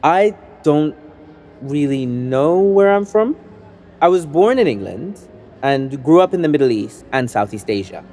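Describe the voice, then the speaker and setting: A young adult man from Sudan, an organizer and activist, reading a scripted first-person essay in an earnest, measured, persuasive way. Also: fairly high pitch, animated, mellow, soft background music.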